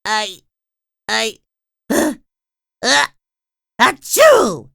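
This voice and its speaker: Woman voicing sick cartoon sponge boy: very high, nasal, stuffy, slow delivery, dry read. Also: animated, creaky, bright, forceful tone, no echo, exaggerated voice. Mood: sick, pleading. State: sniffly with a cold. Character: cheerful young male sea sponge, eager. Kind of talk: storybook read-aloud.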